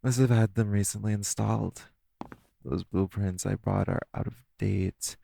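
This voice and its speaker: Man acting as a low-voiced flirty villain thief. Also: steady tone, moderate pace, gravelly, warm tone, slight background noise. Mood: muttering, confident. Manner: teasing, theatrical, purring, chuckling. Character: flirty, cocky male supervillain master thief, smugly playful. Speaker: voice actor in audio roleplay. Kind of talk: audio roleplay acting, intimate monologue to listener.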